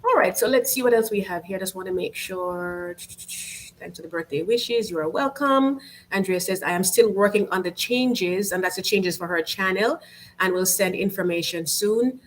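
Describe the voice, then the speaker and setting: A Jamaican woman hosts a relaxed livestream from home, chatting with her viewers. Her voice sits in a middle range, and she talks in an upbeat, friendly way with lots of "you know" and "um" as she reads out their comments. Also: mid-range voice, moderate pace, a little hiss or hum, poor audio. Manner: chatty, upbeat, many fillers and restarts. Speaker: YouTube channel host. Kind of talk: casual livestream chat reading comments.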